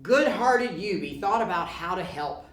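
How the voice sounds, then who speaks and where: A woman reading a children's storybook aloud in a playful, expressive style. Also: on the low side, unhurried, recorded from a distance. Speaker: storybook reader. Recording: echoey room, faint noise.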